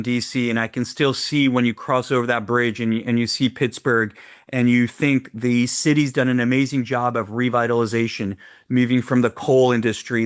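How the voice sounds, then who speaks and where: A man with an American accent speaks as a podcast host. His pitch is average for a man. His delivery is informal and effusive, in the style of a relaxed conversational interview.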